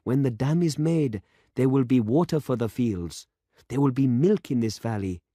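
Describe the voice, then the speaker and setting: A man's voice: medium pitch, lively intonation, moderate pace, dark tone, no room sound, clean studio sound, pitched above the performer's own voice, lightly acted. A man reading aloud and voicing an earnest, impatient young male farming demonstrator in an urgent, insistent manner.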